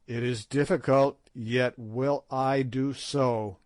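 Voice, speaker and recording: A man reading aloud and voicing a stern king who speaks slowly and with command. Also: medium pitch, steady tone, darkish tone, dry recording, natural voice. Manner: slow, stern, measured.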